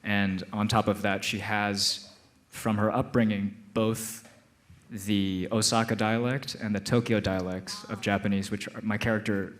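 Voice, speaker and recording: American man, relaxed panel talk. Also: lowish voice, unhurried. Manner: animated, laughing, many restarts. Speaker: actor guest on panel. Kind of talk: live panel conversation. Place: stage before live audience.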